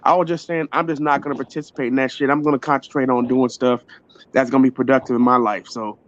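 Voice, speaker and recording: A man calling into a debate, measured and insistent about getting to finish his point. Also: medium pitch, steady tone, no echo, dull audio. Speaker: guest on a call. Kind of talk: casual debate.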